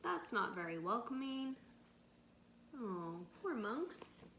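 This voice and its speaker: Female read-aloud teacher, expressive and unhurried, explaining simply for children; echoey room, faint noise. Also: mid-range voice. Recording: roomy echo with faint background noise.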